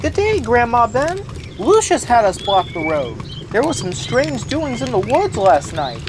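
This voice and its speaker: Polite village man played by a woman: high, animated, neighbourly, very noisy ambience. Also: measured pace. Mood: friendly. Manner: courteous, neighbourly. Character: polite, friendly village man, respectful and cheerful. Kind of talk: fan comic dub voice acting. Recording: loud noisy ambience, distant voice.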